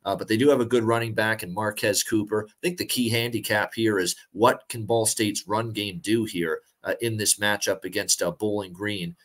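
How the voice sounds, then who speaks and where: A man's voice: lowish voice, normal speed, few pauses. A young man co-hosting a sports betting show, talking steadily over a video call with lots of filler words. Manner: steady, talkative, many "uh" fillers. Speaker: sports betting podcast co-host. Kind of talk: casual sports betting analysis. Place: home room, indoors.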